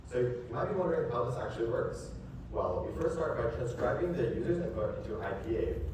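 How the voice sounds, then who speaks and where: Male researcher from Kyrgyzstan presenting a demo. Deep, rapid delivery, recorded from far off with strong echo. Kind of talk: rehearsed product demo pitch. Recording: echoey hall from far off, noisy.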